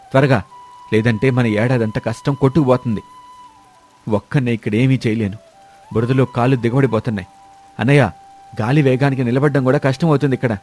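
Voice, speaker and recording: Casting: restless younger village brother, acted by a man, earnest and pleading, mid-pitched, music behind. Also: darkish tone, natural voice. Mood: urgent, alarmed. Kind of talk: moral story character dialogue.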